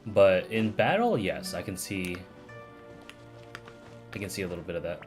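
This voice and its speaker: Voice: low-pitched, sing-song, normal speed. A streamer giving casual commentary while playing a video game. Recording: loud game music behind.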